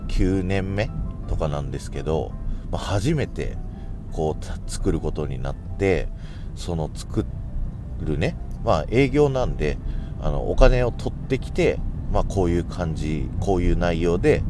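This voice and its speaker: A man in his thirties hosting a casual solo audio show, talking conversationally and thinking aloud as he goes. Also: low-pitched. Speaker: podcast host who works in radio sales.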